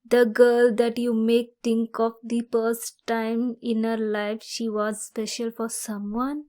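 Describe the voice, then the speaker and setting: A woman playing an obsessive, heartbroken young woman who holds her ex captive, swinging between hushed menace and frantic outbursts. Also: on the high side. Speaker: voice actor. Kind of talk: audio roleplay acting.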